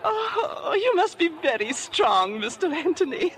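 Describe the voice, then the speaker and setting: An actress playing a giggly, coy, middle-aged society matron in a 1950s radio drama. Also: female voice, very high voice, big pitch swings, a little slow, full character voice. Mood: giggly, flattered.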